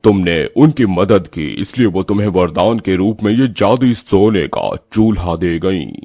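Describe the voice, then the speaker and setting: A man plays a magical golden stove with a low, deep voice. It speaks solemnly and kindly, calmly explaining and reassuring as in a cartoon fairy tale. The sound is dry and close, over music. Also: measured pace, dry recording, exaggerated voice. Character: kindly magical talking golden clay stove, male, calm. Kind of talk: Hindi cartoon storytelling.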